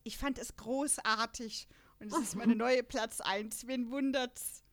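Young woman, podcast host, lively and chatty, slightly high voice. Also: slow pace. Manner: lively and chatty, laughs often. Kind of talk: casual chat.

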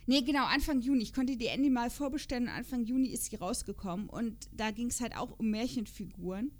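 A woman's voice: on the high side, fairly quick. A woman co-hosting a podcast in a casual, unscripted chat, rambling along and often checking for agreement. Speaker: podcast co-host reviewing children's audio dramas.

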